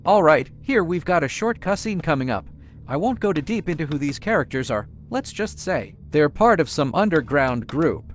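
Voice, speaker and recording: High-voiced, animated man narrating a game. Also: moderate pace, slight background noise. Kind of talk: casual video game walkthrough commentary.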